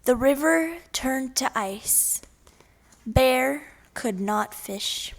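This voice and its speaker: A woman narrates a children's story in a high voice. She goes very slowly, setting out each short phrase deliberately, as in a read-aloud for young listeners.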